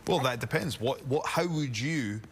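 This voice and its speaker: A man's voice: medium pitch, animated, moderate pace, breathy edge. A young man with a mild Scottish accent, a wrestler guest chatting on a TV show with playful, boastful hype. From Scotland. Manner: showy, hyping, playful boasting. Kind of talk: casual TV interview chat. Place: TV studio.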